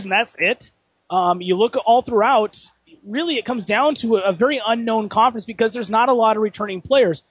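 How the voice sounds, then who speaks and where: Fast-talking high-voiced male hockey broadcaster. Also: poor audio. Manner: quick, asks long multi-part questions. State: losing his voice. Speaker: play-by-play broadcaster hosting a guest. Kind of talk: hockey radio broadcast chat.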